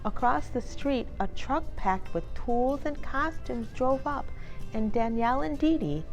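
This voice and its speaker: A woman host reading a children's book aloud in an animated, expressive storytelling manner. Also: high-pitched, measured pace, soft background music. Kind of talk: expressive storybook read-aloud with casual introduction. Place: inside a bookstore.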